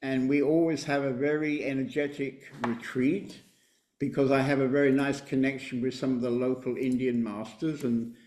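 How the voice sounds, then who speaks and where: A spiritual teacher leading an online meditation group, speaking in a calm, measured way and often affirming with repeated "right, right". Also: male voice, mid-range voice, roomy sound. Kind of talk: relaxed group-meeting talk. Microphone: computer mic on a video call.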